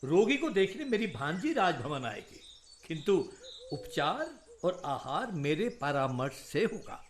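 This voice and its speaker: An actor playing a proud, courteous senior royal physician in a Hindi historical radio drama, speaking formally and deliberately. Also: male voice, on the high side, very animated. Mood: reassuring, earnest.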